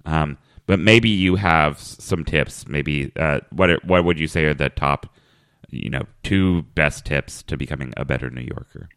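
A man hosting a comedy podcast, talking in a dry, halting way full of fillers. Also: rumbling low, a bit bright, clear, resonant tone, dry recording. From Kentucky. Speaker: podcast host. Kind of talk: deadpan comedic podcast chat.

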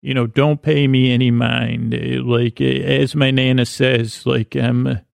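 A man hosting a sleep podcast, rambling gently with many fillers and restarts. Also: medium pitch, little pitch movement, a little slow, vocal fry, dry recording, clean studio sound. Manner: soft and gentle. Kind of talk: rambling bedtime podcast intro.

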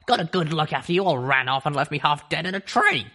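A man's voice: a little high, lively intonation, fast talker, bright, forceful tone, voice pushed up. A man voicing a tough, wry female griffin who smokes and talks in folksy slang.

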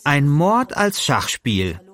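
Lively higher-voiced male podcast narrator. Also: slow.

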